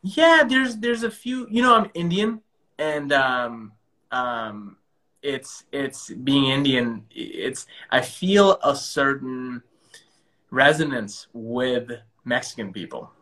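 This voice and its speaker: A man's voice: medium pitch, animated, slow, pushed and bright. A man whose first language is Punjabi hosting a live-stream interview, enthusiastic and often repeating words as he starts sentences.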